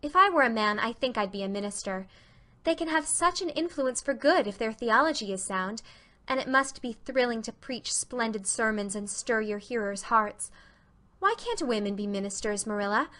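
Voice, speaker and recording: A woman's voice: fairly high pitch, pushed and bright. A woman voicing an earnest, talkative, imaginative teenage girl with effusive, dramatic delivery in a reading of a classic novel.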